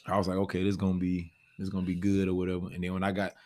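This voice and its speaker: A man's voice: sits low, completely flat delivery, very fast, a little mellow. A man with an American accent telling a story casually, in slangy everyday talk full of check-in phrases. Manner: slangy, often says "you know what I'm saying". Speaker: stage actor discussing his part.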